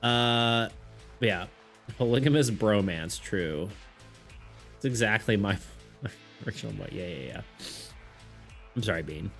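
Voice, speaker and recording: A man's voice: on the low side, a little slow, long pauses, fairly bright tone. A man chatting casually with his viewers on a live stream, rambling, restarting his sentences and laughing often. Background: faint background music.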